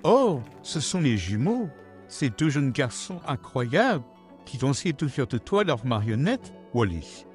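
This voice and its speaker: A man's voice: mid-range voice, sing-song, unhurried, faint music behind. A man voicing a big, friendly blue puppet dog in a French comic dub, jovial and showy. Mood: amused.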